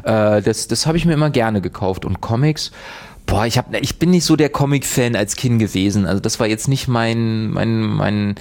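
Rambling co-host who searches for words. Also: male voice, lowish voice, moderate pace, darkish tone. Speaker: radio co-host. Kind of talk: casual radio chat.

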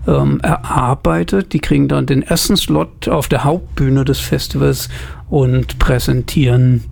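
A man's voice: medium pitch, fairly even intonation, unhurried, gravelly, a little mellow. A man presenting jazz on the radio, chatting casually and thinking aloud with the odd filler.

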